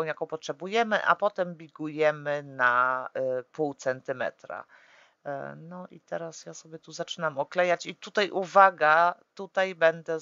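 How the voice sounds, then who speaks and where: A woman calmly walking through a papercraft tutorial step by step, often pausing with drawn-out fillers as she works. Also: deep voice, clean tone, no room sound, lo-fi sound. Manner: hesitant, full of drawn-out "e" fillers while working; calm, practical instructing.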